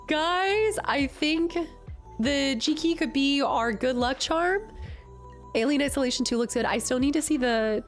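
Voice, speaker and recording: A woman streamer chatting casually with her viewers, her voice nasal and raspy from a cold, bubbly and excitable. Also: sits high. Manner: bubbly, excitable, greets chat often. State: recovering from a cold. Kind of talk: casual live-stream chat.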